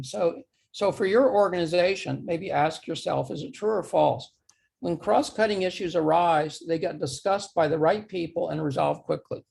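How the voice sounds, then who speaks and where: A man giving a presentation, his voice going hoarse, halting and repeating words as he poses true-or-false questions. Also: a little high, a little mellow. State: losing his voice, clearing throat. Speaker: conference speaker. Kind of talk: talk presentation with audience questions.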